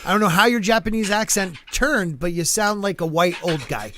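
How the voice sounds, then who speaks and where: A man's voice: sits high, expressive, moderate pace, pushed and bright, a little hiss or hum. A man hosting a live art stream, chatting casually and rambling with jokes, fillers and restarts.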